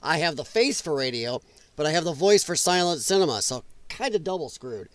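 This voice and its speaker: Male stream host, high voice, dry room sound; slightly rough, rambling, self-mocking banter with the chat. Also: sing-song, brisk, dry recording. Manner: rambling, self-deprecating, chatting to viewers in the chat. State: rough voice, occasional coughing. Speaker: livestream host. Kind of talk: casual late-night livestream chat. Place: home room at night, animals nearby.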